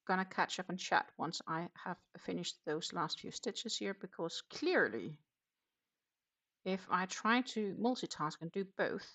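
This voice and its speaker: A woman with a lowish, gravelly voice full of vocal fry hosts a relaxed craft stream. She talks haltingly and very slowly, half to herself, in a self-deprecating and freely sweary manner. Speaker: host of a crafting livestream. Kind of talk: casual livestream chat while knitting.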